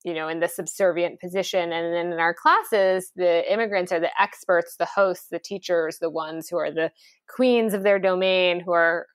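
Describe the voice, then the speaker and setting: A woman, founder of a cooking school, talking in a relaxed interview with lots of fillers and lively storytelling. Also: on the low side, normal speed, dry recording. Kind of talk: conversational interview.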